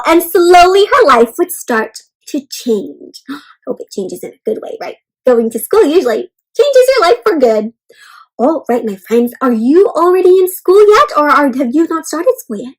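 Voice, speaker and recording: High-pitched woman reading to young children. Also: low-quality recording. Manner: addresses young listeners with questions and commentary; expressive, encouraging teacher-like delivery. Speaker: children's read-aloud host. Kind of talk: interactive storybook read-aloud for young children.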